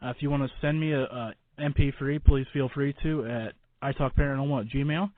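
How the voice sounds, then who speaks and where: A man hosting a radio show in a casual, rambling, informal way with halting starts. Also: medium pitch, steady tone. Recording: boxy phone-like line with room echo.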